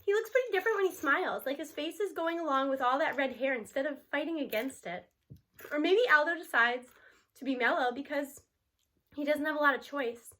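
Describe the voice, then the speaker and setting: American lady reading a kids book out loud, high bright voice, really expressive. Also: fairly quick. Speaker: storybook reader. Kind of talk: expressive read-aloud of a children's novel.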